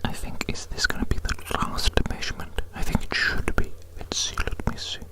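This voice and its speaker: A man whispering a breathy ASMR roleplay monologue in a rambling, repetitive, whimsically fussy way. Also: normal speed.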